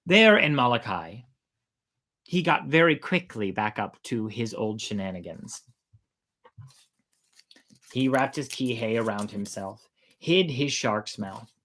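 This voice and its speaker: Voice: mid-range voice, bright, forceful tone. A storyteller hosting a show, telling folk tales informally in a playful, conversational way.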